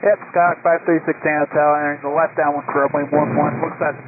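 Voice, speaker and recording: A man's voice: fairly high pitch, flat delivery, a little fast. A man making pilot position calls over an aviation radio. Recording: tinny, noisy cockpit radio transmission.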